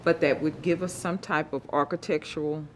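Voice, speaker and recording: A woman's voice: low-pitched, fairly even intonation, normal speed. A woman on a planning commission speaks animatedly about a motion. She is informal and says y'all. Faint hiss sits behind her voice. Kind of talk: public meeting discussion. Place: city council chamber.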